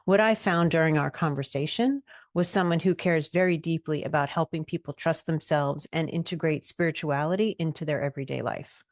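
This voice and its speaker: A woman hosting a spiritual podcast, gentle and earnest in how she talks. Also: sits low, no room sound, clean studio sound. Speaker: podcast host and medium. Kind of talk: podcast interview.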